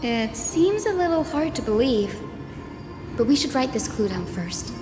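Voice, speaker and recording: High-voiced, calm young scholar. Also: female voice, a little slow. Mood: calm, curious. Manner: calm, explanatory. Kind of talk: game voice acting. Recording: reverberant, music behind.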